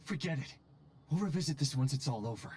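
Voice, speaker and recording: An actor voicing an earnest, fretful colleague in a video game, speaking politely but emphatically. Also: male voice, mid-range voice, expressive, fast talker, a little mellow, slightly rough. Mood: probing, worried. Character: earnest, fretful male colleague, concerned and exasperated.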